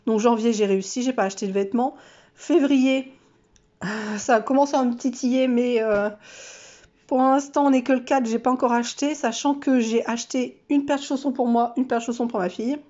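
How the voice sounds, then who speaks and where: A woman's voice: a little high, fairly even intonation, moderate pace, dark tone, dull audio. A woman vlogger with a stuffy, nasal voice from a cold, chatting casually and self-mockingly through her monthly challenges with lots of fillers.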